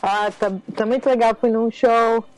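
A woman chats on a radio show in an easygoing, enthusiastic way. Her voice sits a little higher than most. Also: flat delivery, moderate pace, darkish tone, faint music behind, poor audio. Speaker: guest correspondent. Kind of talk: casual radio chat.

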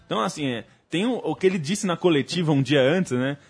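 A man from Brazil explaining football matches in a calm, measured way during a casual debate. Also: a little high, slightly rough. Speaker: football pundit.

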